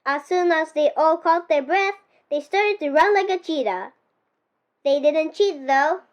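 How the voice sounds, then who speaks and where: Dull-sounding, very high-pitched young girl reading. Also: animated, measured pace. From Japan. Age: child, 10 years old. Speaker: young author reading her own picture book. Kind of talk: expressive storybook read-aloud.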